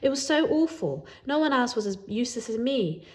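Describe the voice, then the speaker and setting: Expressive woman reading a children's story. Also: fairly high pitch, brisk. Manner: animated, acts out dialogue. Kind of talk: expressive read-aloud of a children's book.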